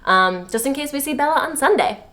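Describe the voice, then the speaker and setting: A woman's voice: high-pitched, animated, a little fast, pushed and bright. A woman co-hosting a casual comedic recap, wry and slangy, paraphrasing characters as mock dialogue. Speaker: podcast co-host.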